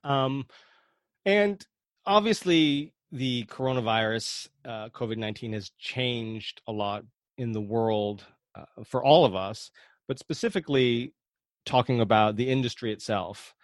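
A man with a mid-pitched voice hosts an interview podcast. He talks casually and conversationally, as if to a familiar guest. The sound is dry, with very little room in it. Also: unhurried, no echo.

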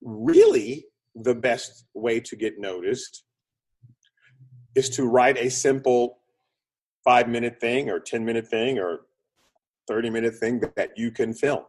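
Husky-voiced man explaining things in an interview. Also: mid-range voice, unhurried, pauses often. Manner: animated, acts out examples in short punchy phrases. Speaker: interview guest, screenwriting consultant and producer.

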